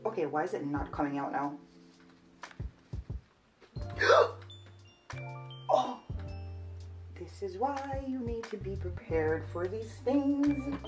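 A woman's voice: medium pitch, animated, slow pace, pauses often, over music. A woman in her twenties from Jamaica, chatting casually to camera in a how-to vlog, explaining each step as she works. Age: 20s. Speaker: vlogger. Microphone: camera on a shelf a few feet away.

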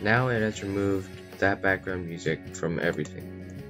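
A man giving a casual software tutorial as its host, in a poor home recording. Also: deep voice, moderate pace. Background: plucked guitar music.

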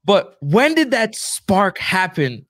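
A young man co-hosts a podcast on a studio mic. His voice sits a touch high and dry, close up, and he talks in a loose, slangy way, repeating words as he goes. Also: very animated, slow, fairly bright tone, no room sound. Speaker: co-host. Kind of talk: podcast interview. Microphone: studio mic with headphones.

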